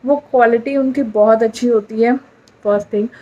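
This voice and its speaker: A woman's voice: on the high side, fairly even intonation, normal speed, mellow, muffled recording. A woman from India chats casually to her viewers as a vlogger, mixing English words into her talk.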